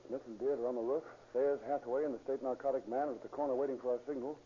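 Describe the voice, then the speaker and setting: A man's voice: mid-range voice, little pitch movement, rapid-fire, mellow, natural voice. An American actor playing an alert, inquisitive policeman, a detective's eager partner, in a 1930s radio drama. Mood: businesslike. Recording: muffled, very noisy 1930s radio recording.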